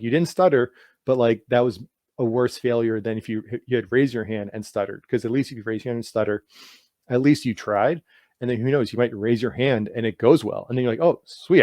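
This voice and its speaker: A man who stutters, answering questions in a loose, conversational way. He repeats sounds and words and leans on "like" as a filler. His voice sits at a middling pitch, recorded dry and close. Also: darkish tone, no room sound. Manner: stutters with sound and word repetitions; heavy use of "like" as filler. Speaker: guest author. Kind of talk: casual interview answers.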